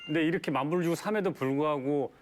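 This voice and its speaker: A man's voice: on the high side, fast talker, background noise. A man hosting, asking follow-up questions.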